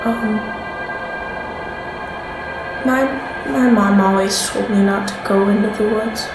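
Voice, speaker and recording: A woman playing an uneasy young woman in a naturalistic fiction podcast, speaking haltingly and trailing off. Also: medium pitch, fairly even intonation, natural voice. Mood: hesitant, uneasy. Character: hesitant, uneasy young woman living in a strange house. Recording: roomy echo, music underneath. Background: music.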